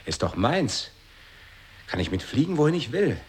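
A man's voice: medium pitch, big pitch swings, moderate pace, a little hiss or hum, lightly acted. An actor in an experimental radio play, playing an evasive one-legged man with a terse, questioning way of talking.

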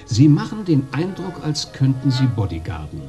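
A man's voice: medium pitch, wildly swooping pitch, moderate pace, dark tone, soft background music. An actor playing a jovial but condescending European trade agent in a radio drama, chummy and swaggering in his colloquial talk. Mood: businesslike, casual.

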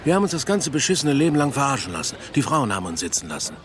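An actor playing an embittered man in a radio drama, rough and resigned. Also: medium pitch, very animated, very fast, a crowd in the background, natural voice. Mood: bitter. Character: embittered middle-aged man who feels betrayed by life.

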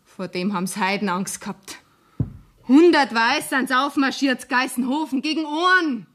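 A woman's voice: high voice, a little slow, fairly bright tone. An actress playing a drunken, bitter, taunting young tavern woman with a Bavarian accent in a German radio play. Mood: mocking. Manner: brash, mocking, coarse-mouthed.

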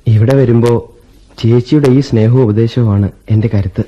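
A man's voice: medium pitch, fairly even intonation, moderate pace, lightly acted. A young man playing Anu, a troubled college student worried about his mother, in a radio play. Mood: earnest. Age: young adult. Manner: earnest, melancholy, reflective. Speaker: radio drama actor. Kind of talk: 1980s Indian radio play acting. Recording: dull old radio recording with faint music.

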